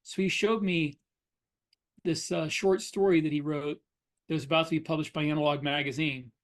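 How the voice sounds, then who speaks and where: Male, middle-aged, high-set voice, casual podcast co-host, explanatory storyteller. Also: fairly even intonation, moderate pace. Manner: anecdotal, explanatory. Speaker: podcast co-host and science fiction author. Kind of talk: casual conversational discussion.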